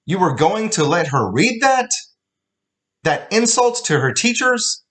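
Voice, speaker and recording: A man reading aloud and voicing a furious immigrant father who shouts at his daughter in broken English. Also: high voice, very animated, measured pace, voice pushed up, heavily acted. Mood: outraged.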